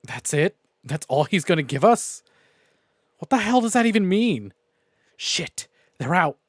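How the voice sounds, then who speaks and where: A man streaming a visual novel, reading it aloud and reacting in an expressive, sweary way. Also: high voice, unhurried. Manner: expressive, profane. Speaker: game streamer.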